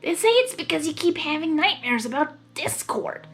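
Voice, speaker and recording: A woman voicing a timid, nervous female cartoon pegasus pony, speaking softly and hesitantly. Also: high-pitched, sing-song, normal speed, faint music behind, pitched above the performer's own voice, full character voice. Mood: shaken, hesitant. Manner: hesitant, soft-spoken, anxious.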